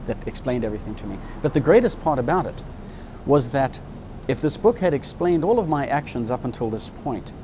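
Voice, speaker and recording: A man's voice: medium pitch, big pitch swings, a little fast, mellow, a little hiss or hum. A man in his fifties from Zimbabwe, telling his life story in an interview in a candid, reflective way. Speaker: interviewee, subscriber.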